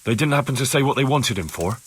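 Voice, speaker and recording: Determined young medieval investigator, slightly husky. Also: male voice, mid-range voice, animated, fast. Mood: searching, earnest. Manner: insistent, direct questioning. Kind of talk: video game cutscene dialogue.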